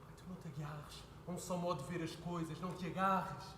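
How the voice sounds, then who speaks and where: A young man playing an earnest son who pleads with his father, building to heated shouting. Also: fairly high pitch, fairly even intonation, fairly quick, background noise, lightly acted. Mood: pleading. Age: young adult. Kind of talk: stage play performance. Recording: echoey hall with faint ambience.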